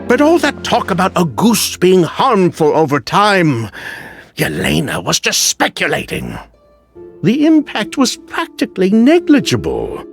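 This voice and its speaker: A man's voice: high voice, wildly swooping pitch, measured pace, gravelly, faint music behind, full character voice. An actor playing an evasive, bitter male ex-convict merchant in a video game, sighing and justifying himself dramatically. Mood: defensive.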